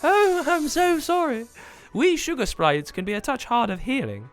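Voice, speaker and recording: A man's voice: very high-pitched, very animated, moderate pace, soft background music, pitched above the performer's own voice. A man voicing a sweet, mischievous female sugar sprite in a children's story, playfully mock-innocent.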